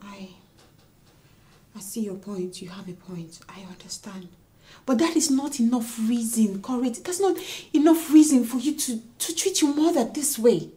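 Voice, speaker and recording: An actress playing a young wife who reproaches her husband for mistreating his mother, moving from hushed whispers to shrill scolding. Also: animated, moderate pace, lightly acted. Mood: reproachful. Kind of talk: melodrama acting.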